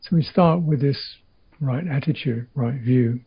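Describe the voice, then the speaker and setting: Gravelly husky guy teaching meditation, halting lil phrases w long pauses, bit muffled recording. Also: medium pitch. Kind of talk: guided meditation.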